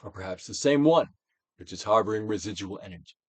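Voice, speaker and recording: A man giving a dramatic, theatrical reading of a self-help book as a guided exercise, with deliberate, swelling and falling emphasis. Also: fairly low pitch, lively intonation, breathy edge, no room sound. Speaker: narrator, audiobook reader.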